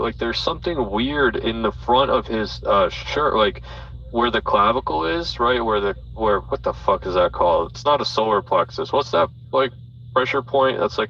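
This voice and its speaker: A man's voice: medium pitch, fairly bright tone, distant mic. A man hosting a live audio chat, rambling and swearing as he thinks aloud, with plenty of fillers. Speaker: host. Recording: dull audio from off mic with faint noise.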